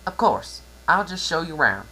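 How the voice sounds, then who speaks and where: A protective, short-fused country pony from a cartoon tale , put on by a reader. She speaks in a folksy drawl, raised in pitch, with lively swings. A faint hum sits behind her. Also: normal speed. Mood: hospitable, tense. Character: blunt, protective female farm pony, quick-tempered. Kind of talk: cartoon fan-fiction dramatic reading.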